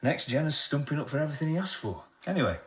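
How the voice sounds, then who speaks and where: A man's voice: a little high, big pitch swings, racing, a bit bright. An actor playing an eager male colleague who talks in an awkward, hesitant way, in a radio drama. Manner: hesitant and fumbling.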